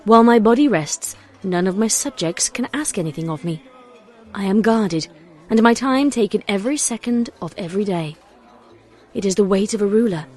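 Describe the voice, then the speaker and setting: A woman's voice: mid-range voice, lively intonation, moderate pace, darkish tone, close to an everyday voice. Woman doing a stately pony night queen, formal and burdened, audio drama, bit of music behind. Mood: weary, tender.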